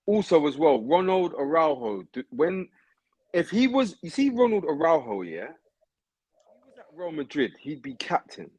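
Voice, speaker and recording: A man's voice: fairly high pitch, normal speed, husky, low-quality recording. A man co-hosting a casual football live stream, talking in bursts with repeated words and restarts as he defends his players. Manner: lively, repeats himself and restarts sentences. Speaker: co-host of football podcast.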